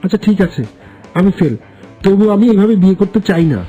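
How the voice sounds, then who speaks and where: A woman's voice: medium pitch, fairly quick, dark tone, dull audio, faint music behind, lightly acted. A woman voicing a puzzled, earnest young man in a cartoon, asking questions in a confused way.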